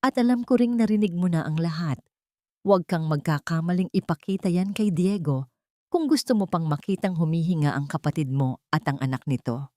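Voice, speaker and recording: A woman's voice: on the low side, brisk, darkish tone, no room sound, clean studio sound, lightly acted. A woman voicing a threatening, commanding older matriarch villain in an audio drama. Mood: cold threat.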